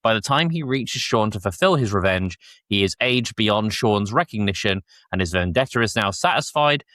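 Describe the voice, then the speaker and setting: A man with a lowish voice hosts a casual review podcast. He is jokey and informal, and the sound is dry and close. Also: animated, normal speed, a bit bright, no room sound. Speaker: podcast host. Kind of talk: casual review chat with episode recaps.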